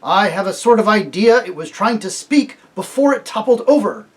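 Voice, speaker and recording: A storybook reader voicing a sensible badger who reasons calmly. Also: medium pitch, moderate pace, voice pushed up, natural voice. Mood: reasoning.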